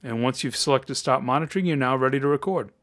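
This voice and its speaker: Deep-voiced man teaching with a close mic. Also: fast, a bit bright. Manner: upbeat, encouraging, addresses viewers as guys. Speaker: voice-over tutorial host. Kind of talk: screen-recorded software tutorial. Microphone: close-up microphone.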